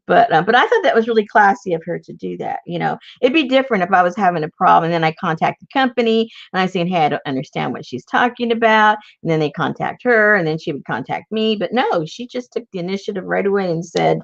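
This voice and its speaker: A woman's voice: fairly low pitch, animated, fast talker, creaky, hardly any pauses, highs cut off. A woman hosting an art tutorial, chatting casually in a rambling way and muttering to herself as she works. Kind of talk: casual chat while painting.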